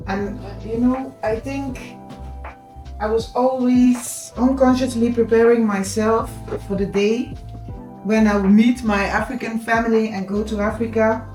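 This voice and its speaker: A woman's voice: mid-range voice, a little mellow. Chatty Dutch-accented woman in echoey kitchen. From Netherlands. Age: adult with children. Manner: chatty, addresses viewers directly, mixes in Dutch words. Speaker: cooking vlogger. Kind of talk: casual kitchen vlog. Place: home kitchen. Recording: echoey kitchen with background music.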